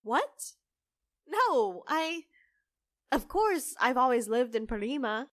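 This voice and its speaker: An actress playing a young half-fae newcomer, secretive and good-hearted, with a hesitant manner in an audio drama. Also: female voice, high voice, sing-song, takes it slowly, dry recording, clean studio sound, full character voice. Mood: flustered, evasive.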